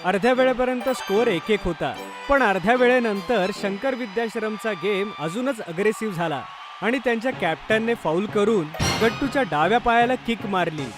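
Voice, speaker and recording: A man's voice: high-pitched, normal speed, over music. A man narrating a scripted children's story as the storyteller.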